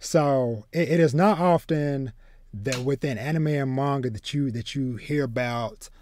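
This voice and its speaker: A man in his thirties chatting casually as a podcast host, haltingly, often repeating words as he goes. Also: mid-range voice, darkish tone, a little hiss or hum. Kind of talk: casual chat about manga and anime. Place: small home room with bookshelves.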